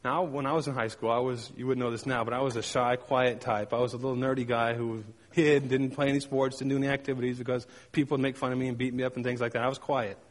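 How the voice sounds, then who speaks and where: A man's voice: mid-range voice, little pitch movement, quick pace, few pauses, big-room sound. A man delivering a sermon, telling personal stories with emphatic repetition and playful sound effects. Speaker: pastor.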